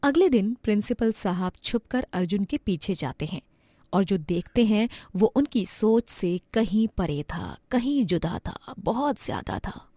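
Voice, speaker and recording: A woman's voice: mid-range voice, expressive, unhurried, warm tone, dry recording, studio quality. A woman delivering scripted narration for a moral bedtime story.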